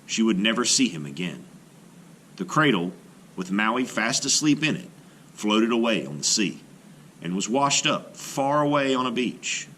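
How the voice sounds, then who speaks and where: A man's voice: on the low side, big pitch swings, unhurried, fairly bright tone, slight background noise. A man reading a children's story aloud with dramatic, carefully phrased narration.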